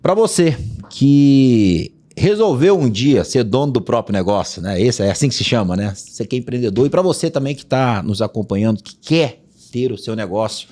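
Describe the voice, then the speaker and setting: A man's voice: medium pitch, lively intonation, normal speed, mellow. A man hosting a business podcast, upbeat and chatty, welcoming guests and leading the talk. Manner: upbeat, chatty, uses fillers like "né". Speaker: podcast host. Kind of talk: podcast interview.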